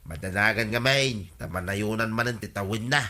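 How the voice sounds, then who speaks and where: A man's voice: on the low side, moderate pace, bright, forceful tone. A man playing a nosy, teasing villager who exclaims and needles others in a comic Ilocano radio drama. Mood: gossipy.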